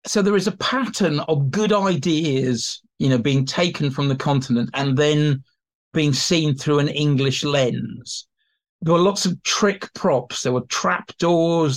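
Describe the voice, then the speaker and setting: A man speaking as an expert guest in an interview, explaining theatre history in a colloquial, anecdotal way. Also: fairly high pitch. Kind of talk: informal explanatory interview talk.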